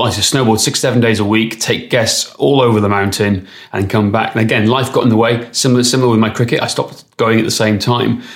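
A man co-hosting a podcast as a strength coach, talking conversationally and telling stories, his voice a little worn. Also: lowish voice. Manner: conversational, anecdotal, with restarts. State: losing his voice. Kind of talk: casual podcast chat.

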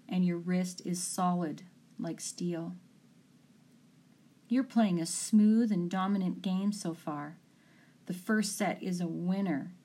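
A woman mental coach narrates guided imagery with a mellow, slightly low voice. She speaks calmly and very slowly, giving measured instructions as in a meditation.

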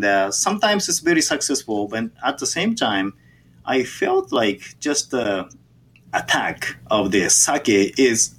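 A man with a Japanese accent, a native Japanese speaker, answering interview questions haltingly with many fillers and restarts. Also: on the low side, a bit bright, slight background noise. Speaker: sake brewer.

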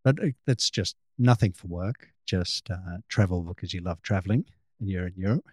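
Rambling Australian man, low voice. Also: moderate pace, dry recording, clean studio sound. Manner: relaxed, rambling with frequent restarts. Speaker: photographer, guest. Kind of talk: casual interview chat.

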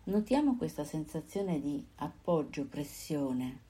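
A woman guiding a body scan meditation, giving calm, unhurried instructions in short phrases with pauses. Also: sits low, breathy edge. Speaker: meditation guide.

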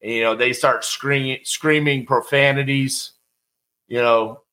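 Guy telling a story, bright clear voice, chatty and animated, middle-aged. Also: medium pitch, a little slow. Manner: conversational, animated, acts out quotes. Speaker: podcast host telling a personal story. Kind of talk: casual storytelling monologue.

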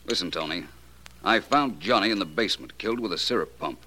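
An actor playing a suave, unflappable private detective in a 1940s radio drama, speaking with polished, dry understatement. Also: male voice, sits low, animated, brisk, sharp tone, exaggerated voice. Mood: cool, probing.

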